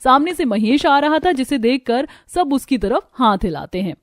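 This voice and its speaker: A woman's voice: sits high, sing-song, moderate pace. A woman reading scripted narration for a cartoon village story. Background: background music.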